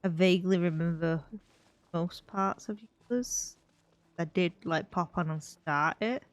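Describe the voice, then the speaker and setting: A woman's voice: on the low side, unhurried, no echo. A woman giving casual live gameplay commentary as a streamer, musing aloud and trailing off. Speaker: game streamer.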